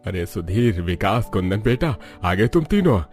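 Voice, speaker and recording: A man's voice: on the low side, sing-song, normal speed, a little mellow. An actor voicing a kindly, fatherly village man in a cartoon, plain-spoken and inviting. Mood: welcoming.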